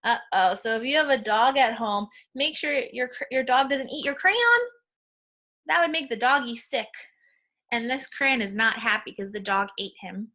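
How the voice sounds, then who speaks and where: A woman reading a picture book aloud to young children, pausing to explain things simply and instructively. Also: a little high, pushed and bright.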